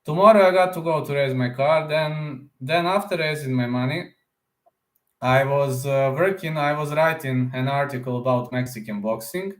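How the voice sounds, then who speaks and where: Man, casual live stream chatter, rambling, middle pitch, roomy echo. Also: moderate pace. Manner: rambling, answers viewer comments aloud; repeats words, often ends with "man". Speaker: combat sports YouTuber.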